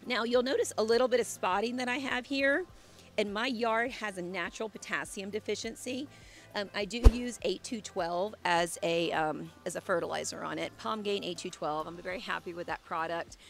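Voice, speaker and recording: A woman's voice: mid-range voice, moderate pace, vocal fry, faint music behind. A woman in her thirties, a landscape designer, giving an enthusiastic, chatty walkthrough of her garden outdoors on a handheld mic while losing her voice.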